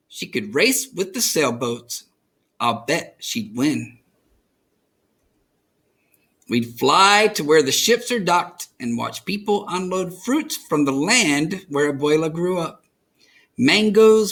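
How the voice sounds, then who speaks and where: A man reading a children's picture book aloud as an expressive storyteller, enunciating for young listeners. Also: sits high.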